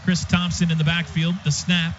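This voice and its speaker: A man's voice: fairly high pitch, flat delivery, moderate pace. A man doing live play-by-play radio commentary on football in clipped running description. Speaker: play-by-play announcer. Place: stadium radio booth. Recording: dull radio broadcast, stadium noise behind. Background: clear crowd and stadium noise.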